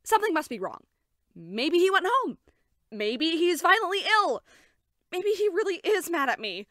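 A woman's voice: extremely high pitch, sing-song, normal speed, fairly bright tone, dry recording, studio quality, heavily acted. A woman voicing a fretful, hot-tempered young college-age girlfriend in a cartoon. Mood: anxious. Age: young adult. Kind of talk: animated cartoon acting.

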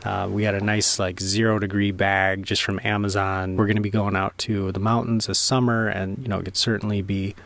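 A man's voice: on the low side, flat delivery, fairly quick, vocal fry, few pauses, a bit bright. A man giving a casual first-person vlog voice-over in a laid-back, colloquial way, peppered with "like" and slang. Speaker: vlogger reviewing camping gear.

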